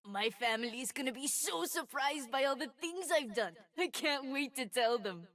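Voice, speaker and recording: Video game kid hero: twelve-year-old boy mage, high and bright, cheerful, eager, earnest. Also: animated, moderate pace. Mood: excited, proud. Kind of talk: animated video game voice lines.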